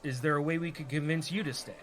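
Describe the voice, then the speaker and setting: A man's voice: on the high side, steady tone, fast talker, fairly bright tone, close to an everyday voice. A man playing a young rogue and reluctant party leader in a comedy radio play, casual and persuasive. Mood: negotiating. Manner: casual, bargaining.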